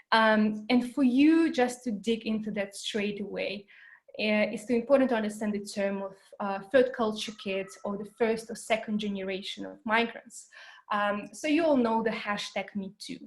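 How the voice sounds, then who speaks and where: A woman who grew up in New Zealand, giving an online educational talk in an earnest way, with many fillers and repeated words. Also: medium pitch. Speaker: workshop presenter. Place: home, Berlin.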